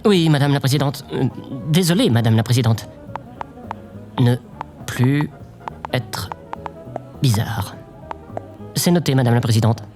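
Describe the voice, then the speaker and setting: A woman playing an awkward, deferential, oddly intense assistant with halting delivery in a comedic audio drama. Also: a bass voice, music in the background. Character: awkward, morbid, servile presidential assistant Babylone, oddly intense.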